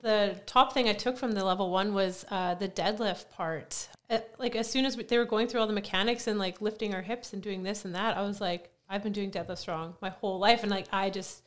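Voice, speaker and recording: A woman with a mid-pitched voice answers questions in a casual podcast interview. She is chatty and self-deprecating, says she has a loud voice, and fills her sentences with "like". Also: fairly even intonation, a little fast, hardly any pauses. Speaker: guest, CrossFit coach.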